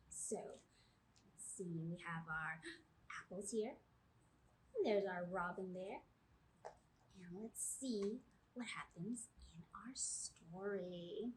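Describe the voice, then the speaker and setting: A woman's voice: medium pitch, a bit bright, slight background noise, rough recording. A woman in her thirties hosting a children's storytime, reading aloud in simple phrases and asking the young listeners guiding questions. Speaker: children's librarian, storytime host. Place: small indoor room, seated before camera.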